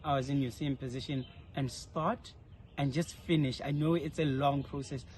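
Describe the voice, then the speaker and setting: A man's voice: medium pitch, fairly even intonation, normal speed, a little mellow. A young man speaking earnestly and articulately, giving reflective answers in an informal interview. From South Africa. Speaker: interviewee, leadership fellow. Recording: faint background noise, ambient.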